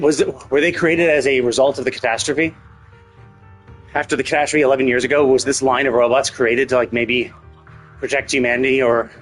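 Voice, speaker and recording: A man with a husky voice giving casual, rambling livestream commentary, thinking aloud and often rephrasing his own questions. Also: medium pitch. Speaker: livestream host.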